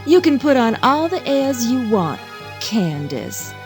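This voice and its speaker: A woman's voice: a little high, sing-song, slow, soft background music, full character voice. A woman playing a spoiled, haughty young Southern belle in an audio drama, drawling and taunting in a Southern accent. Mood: defiant, catty. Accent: Southern American.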